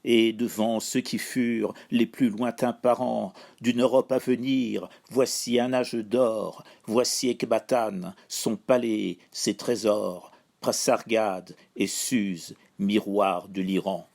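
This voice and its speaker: A man solemnly reciting an epic poem in measured, declamatory phrases broken by pauses. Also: on the low side, steady tone, creaky, darkish tone. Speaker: poetry reciter.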